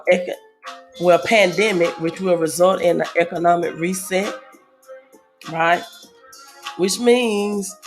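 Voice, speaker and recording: Hoarse woman chatting on a livestream. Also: mid-range voice. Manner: chatty, reads viewer comments aloud, many fillers. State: slightly hoarse from a head cold. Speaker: livestream host. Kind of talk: casual livestream chat.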